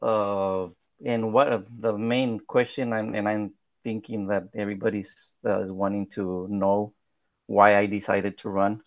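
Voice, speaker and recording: A man in his thirties with a Spanish accent, speaking English as his second language. His low voice has a gravelly fry and sounds dry and close, with no room around it. He talks in a shy, halting way, full of fillers and restarts. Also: flat delivery, dry recording, lo-fi sound. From South Texas. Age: 30s. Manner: hesitant, many fillers and restarts; modest, soft-spoken. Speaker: political candidate. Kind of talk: podcast interview.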